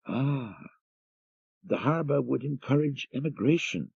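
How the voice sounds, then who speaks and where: A man voicing a curious, polite gentleman who asks probing questions, in an audiobook story. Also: medium pitch, animated, measured pace, vocal fry, slightly rough, no room sound, lo-fi sound, pitched above the performer's own voice, exaggerated voice. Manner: inquisitive, polite. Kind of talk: audiobook character reading.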